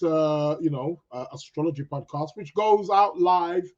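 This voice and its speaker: A chatty man hosts a live stream with a fairly high voice that sounds croaky and a little stuffed up. He sounds upbeat and greets his listeners one by one. The recording is dull and lo-fi. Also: slight background noise. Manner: chatty, upbeat, gives viewers shout-outs. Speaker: podcast host.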